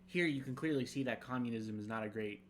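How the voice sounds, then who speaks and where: A man's voice: mid-range voice, slight background noise. Casual, rambling young man. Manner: rambling, halting, many fillers. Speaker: podcast co-host. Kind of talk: casual chat, reading script aloud.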